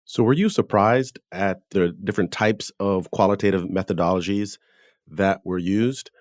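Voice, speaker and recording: A man with a very deep voice hosts a scholarly interview. He puts long, measured questions to his guest, and the sound around his voice is very dry. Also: no echo. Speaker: podcast host, interviewer.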